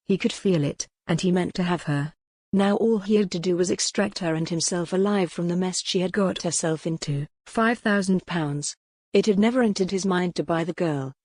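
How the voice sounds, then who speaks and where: A woman narrates a historical novel in a fairly low voice. Her delivery is measured and dramatic, recorded close and dry. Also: darkish tone, dry recording. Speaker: audiobook narrator. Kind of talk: scripted dramatic fiction narration.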